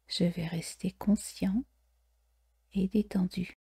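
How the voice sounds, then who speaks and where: A woman leads a guided relaxation in a very breathy, whispery voice. Her tone is dark and deeply mellow, with touches of creak. She speaks slowly and soothingly, leaving long pauses. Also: steady tone. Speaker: yoga nidra relaxation guide. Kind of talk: guided meditation.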